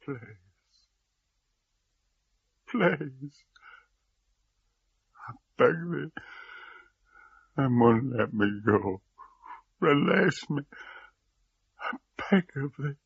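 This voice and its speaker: A man's voice: on the high side, expressive, very slow, vocal fry, pauses often, breathy edge, dry recording, distant mic, highs cut off, heavily acted. An actor playing the tormented ghost of an old man, pleading in archaic speech to be released in a radio play. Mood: desperate pleading.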